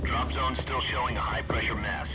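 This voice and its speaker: A man's voice: medium pitch, steady tone, moderate pace, over loud music, natural voice. A man voicing a clipped aircraft crewman. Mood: procedural. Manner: clipped procedural callouts. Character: calm male aircraft crewman calling jump checks. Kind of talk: game cutscene dialogue.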